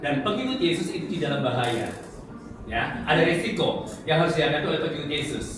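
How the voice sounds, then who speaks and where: Man, evangelist preaching, slightly high pitch, heavy echo, conversational testimony, rhetorical questions. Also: animated, fast, noisy background. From Central Java, Indonesia. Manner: conversational, asks the congregation rhetorical questions and tag questions. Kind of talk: sermon with personal testimony. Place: large echoey room before a congregation. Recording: echoey hall, distant mic, audience present.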